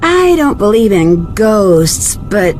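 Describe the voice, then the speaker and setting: A woman playing a level-headed, inquisitive young detective in a video game, speaking in a polite, measured, questioning way. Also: medium pitch, very animated, a little mellow. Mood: uneasy. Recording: music behind.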